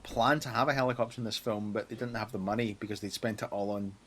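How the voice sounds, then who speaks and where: A man with a husky voice, chatting casually about films. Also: on the low side, fairly even intonation, quick pace, background noise. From United Kingdom. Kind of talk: casual film discussion.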